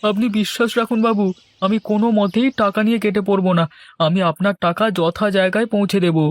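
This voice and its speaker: A man's voice: high voice, level tone, quick pace, dry recording, close to an everyday voice. A man playing a poor, meek village labourer in a cartoon, deferential and eager to please. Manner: deferential, pleading. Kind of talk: Bengali cartoon voice acting.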